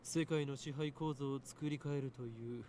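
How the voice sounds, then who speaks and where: Man playing a fast, pompous young mad scientist. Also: mid-range voice, steady tone, warm tone, a little hiss or hum, natural voice. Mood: grandiose, condescending. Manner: theatrical, pompous, taunting. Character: arrogant, grandiose young male self-styled mad scientist. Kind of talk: Japanese visual novel voice acting.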